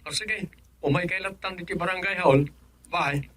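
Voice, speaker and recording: A man's voice: high voice, moderate pace, fairly bright tone. A man playing a businesslike village chairman and son-in-law in an Ilocano radio comedy, with a clipped manner and an Ilocano accent. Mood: brisk, businesslike.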